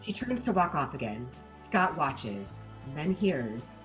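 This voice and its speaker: A woman reading stage directions as scripted narration at a table read, in an animated manner. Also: deep voice, slow, soft background music, poor audio.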